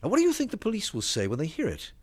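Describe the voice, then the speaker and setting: An actor playing a ruthless middle-aged tycoon who talks with cold contempt, in a 1970s radio drama. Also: male voice, a little high, wildly swooping pitch, very fast, breathy edge, exaggerated voice. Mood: contemptuous. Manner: sneering, contemptuous.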